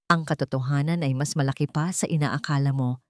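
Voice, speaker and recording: A woman's voice: low-pitched, steady tone, a little fast, no room sound, clean studio sound, natural voice. A woman with a Filipino accent voicing a stern, suspicious man in a story, cold and restrained. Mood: grave, controlled.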